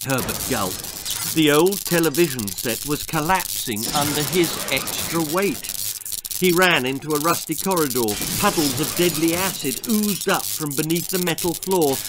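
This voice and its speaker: A man in his sixties reading a children's story dramatically, with an expressive, measured, suspenseful delivery. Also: on the high side, background noise. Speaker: author reading own story. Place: small book-lined room at home. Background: music.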